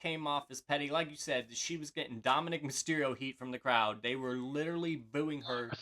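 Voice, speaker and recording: A man's voice: medium pitch, a little fast, bright, forceful tone. A man co-hosting a casual wrestling podcast, rambling with many fillers and restarts. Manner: rambling, filler-heavy, hesitant. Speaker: podcast co-host.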